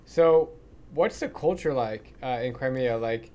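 A man's voice: mid-range voice, animated, a little mellow, smooth. A man hosting a podcast who asks questions in a curious, easygoing way and reacts openly to the answers. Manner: curious, casual, quick to react. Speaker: podcast host. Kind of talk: casual interview conversation.

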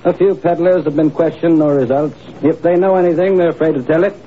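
An actor playing a courteous, measured police inspector in a 1950s radio drama, formal and slightly hesitant. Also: male voice, fairly high pitch, steady tone, natural voice. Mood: grave, polite. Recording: muffled, noisy old radio broadcast recording.

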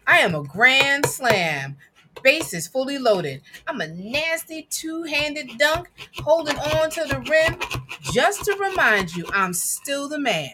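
A woman reading a picture book aloud with emphatic, dramatic phrasing and deliberate pauses. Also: high voice, big pitch swings, noisy background. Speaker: guest reader and producer.

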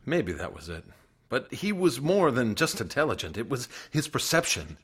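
Casting: worried young male grad student, husky voice, lively swings in pitch, earnest radio-play delivery. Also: moderate pace. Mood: earnest, troubled. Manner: earnest, hesitant, expressive. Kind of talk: radio drama acting.

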